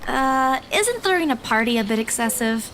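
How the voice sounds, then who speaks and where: High-voiced, hesitant young estate agent. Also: female voice, very animated, normal speed, a bit bright, a little hiss or hum. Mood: hesitant, earnest. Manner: hesitant, reserved, earnest. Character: modest, thrifty, hesitant young female real estate agent. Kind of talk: visual novel game voice acting.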